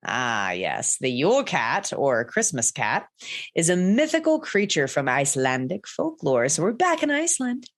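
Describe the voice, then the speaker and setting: A woman's voice: low-pitched, very animated, normal speed. A woman, co-hosting a podcast and telling folklore in a laid-back, chatty way, often swearing and laughing. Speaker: podcast co-host. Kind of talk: casual storytelling chat.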